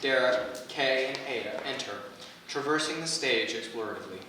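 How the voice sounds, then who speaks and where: A man's voice: mid-range voice, expressive, moderate pace, sharp tone. A man reading stage directions as scripted narration at a staged play reading. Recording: echoey room, far from mic, faint noise.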